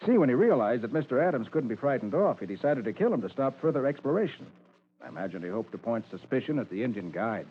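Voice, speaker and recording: A man plays an assured detective in a vintage radio mystery. His delivery is very lively and methodical, on a dull recording with background hiss. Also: medium pitch, fast talker, lightly acted. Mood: assured, explanatory. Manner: assured, explanatory. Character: confident, methodical male private detective. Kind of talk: old-time radio drama acting.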